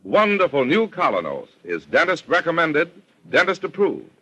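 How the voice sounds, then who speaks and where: A man's voice: on the high side, wildly swooping pitch, moderate pace, fairly bright tone, breathy edge, rough recording. An American man delivering a 1940s radio commercial as an announcer, emphatic and salesmanlike.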